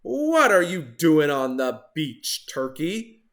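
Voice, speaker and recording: Guy doing a stern farmer telling someone off, kids story, high-ish and animated. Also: slow, heavily acted. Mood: scolding. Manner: scolding, emphatic. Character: stern, fed-up male farmer in a children's storybook.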